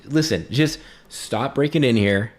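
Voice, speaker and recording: A man's voice: mid-range voice, measured pace, pitched above the performer's own voice, close to an everyday voice. A man voicing an irritated undead male dwarf who guards his crypt home, exasperated and casual, in a tabletop game. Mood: weary, annoyed.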